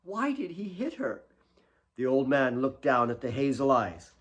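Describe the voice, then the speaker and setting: A man's voice: medium pitch, sing-song, a little slow, dull audio. A man narrates a novel he wrote himself. The delivery is scripted and dramatic, in the manner of an audiobook, with a mid-range voice. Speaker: author reading own novel.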